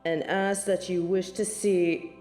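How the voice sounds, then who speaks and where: A snobby female angel of judgement, acted by a woman, speaks with icy, archaic formality and open scorn. Her tone is soft-edged and mellow, and her words come slowly. The sound is roomy and echoing, with music underneath, as in an audio roleplay. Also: on the low side, level tone. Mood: haughty disdain. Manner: haughty, formal, archaic phrasing, disdainful. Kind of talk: scripted audio roleplay acting.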